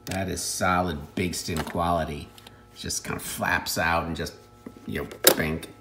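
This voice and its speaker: Low-pitched man chatting offhandedly, some noise. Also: level tone, measured pace, reverberant. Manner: offhand, wry, joking. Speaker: reseller showing secondhand finds. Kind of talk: casual chat.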